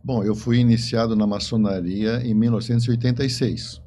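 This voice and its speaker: A man in his seventies with a Brazilian accent answering interview questions conversationally, in a halting way that repeats words. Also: fairly low pitch, fairly even intonation, mellow, slight background noise. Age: 70s. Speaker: interview guest, writer and engineer.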